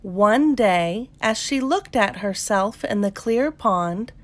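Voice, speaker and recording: A woman reading a children's story aloud as a narrator, in a measured, expressive storytelling manner. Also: mid-range voice.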